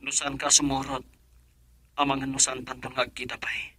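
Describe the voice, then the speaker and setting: A headstrong young Filipino son in a radio drama. His voice is very husky and rough-edged, at a medium pitch, recorded close and dry. He speaks earnestly and defiantly. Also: monotone, brisk, sharp tone, no echo. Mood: urgent, coaxing. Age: young adult.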